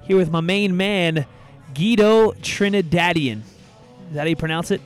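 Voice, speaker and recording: Energetic male podcast host, slightly higher voice, hypes and asks questions. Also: measured pace, soft background music. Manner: upbeat, hyping, interviewing. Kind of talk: casual podcast hosting.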